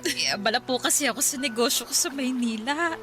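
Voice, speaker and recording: An actress playing an earnest, forgiving grown daughter in a radio drama, with a polite, halting delivery. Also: female voice, high voice, expressive. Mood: pleading. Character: earnest, forgiving grown daughter seeking her long-lost mother. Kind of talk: Tagalog radio drama acting. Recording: music under the dialogue.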